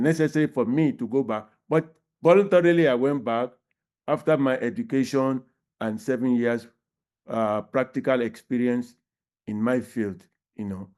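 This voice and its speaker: A man in his eighties with a Nigerian accent, speaking as a panelist on an online panel in a halting, deliberate way with many pauses. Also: medium pitch, a little mellow, clear, resonant tone. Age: 80s.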